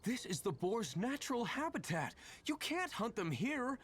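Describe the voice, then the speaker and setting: A woman's voice: fairly high pitch, sing-song, normal speed, slightly rough. A woman voicing a stern, principled hunter in a video game, scolding firmly. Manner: scolding, firm. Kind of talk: video game character voice acting.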